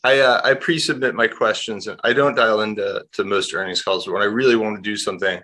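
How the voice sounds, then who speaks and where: Male financial analyst, low-pitched and forceful, candid, loosely rambling delivery for conversational market commentary. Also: steady tone, fast. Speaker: industrials analyst.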